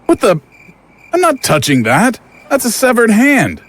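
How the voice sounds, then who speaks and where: A man's voice: very high voice, huge pitch swings, unhurried, a bit bright, full character voice. An actor playing a male dramatic role in an audio drama. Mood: alarmed. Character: uneasy man, turning cruel fake doctor in dreams. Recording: clear background noise.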